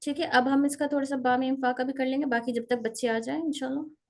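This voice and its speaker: A woman teaching Quranic letter pronunciation in an online class, repeating sounds slowly and mixing in English words. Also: high-pitched, fairly even intonation.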